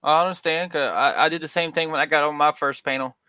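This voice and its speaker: A man's voice: fairly high pitch, steady tone, fast talker, bright, forceful tone, clear, resonant tone, no room sound. Georgia guy hosting a stream panel, rambly and folksy, dull lo-fi dry audio. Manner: rambling, folksy, with frequent fillers. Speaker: livestream panel host. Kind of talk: casual livestream chat.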